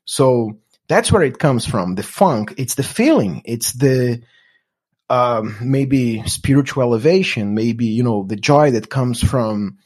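A man's voice: mid-range voice, expressive, slow pace, darkish tone. A man from Brazil with a Brazilian Portuguese accent, talking in a casual, reflective way with many restarts and fillers. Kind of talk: casual conversation.

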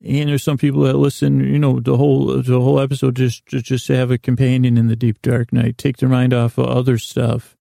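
A man with a low-key, relaxed tone and a crackly fry delivers a rambling bedtime monologue. He circles back on his words and lets sentences drift off unfinished. The recording is dry and close, with little room around the voice. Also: dry recording. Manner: meandering, halting, repeats and trails off. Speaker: podcast host. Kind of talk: rambling bedtime podcast monologue to help listeners sleep.

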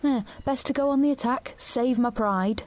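A woman's voice: high-pitched, normal speed, lightly acted. An actress playing a guarded, conflicted teenage girl in an audio drama, earnest and defensive. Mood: awkward. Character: guarded, conflicted teenage girl protagonist narrating her story. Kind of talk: audio drama dialogue and first-person narration. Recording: background noise, outdoor ambience.

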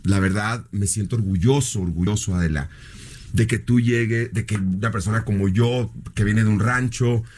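A man from the border speaks with a somewhat low voice. He is a guest in an interview, talking about his fashion work. He is affectionate and friendly, often slipping in terms of endearment for the host. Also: normal speed, darkish tone. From Mexico (Mexicali, border). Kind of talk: TV interview chat.

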